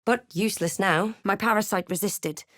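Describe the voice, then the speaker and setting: A woman playing a wry, guarded adventurer hero in a fantasy game, speaking and narrating in a measured, understated, dryly sardonic way. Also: medium pitch, fairly bright tone, dry recording, studio quality, natural voice. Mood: measured storytelling. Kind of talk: scripted game voice lines, part first-person narration.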